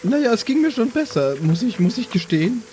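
A man's voice: extremely high pitch, sing-song, brisk, mellow, a little hiss or hum. A man voicing a prim, stern lady with a polite, admonishing, formal manner in an improvised audio play. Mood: weary, polite.

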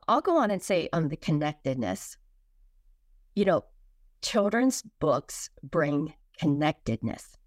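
A woman author answering as an interview guest, phrasing her points deliberately and emphatically in short, repeated pieces. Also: mid-range voice, no room sound, clean studio sound.

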